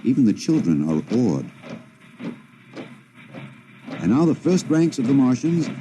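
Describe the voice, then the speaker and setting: An actor playing an excitable radio reporter in a 1950s radio drama, addressing listeners with an announcer's showmanship while covering a grand parade. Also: male voice, medium pitch, fairly quick, lightly acted. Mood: hushed, solemn. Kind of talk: 1950s radio drama acting, live event commentary. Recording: noisy 1950s radio broadcast recording.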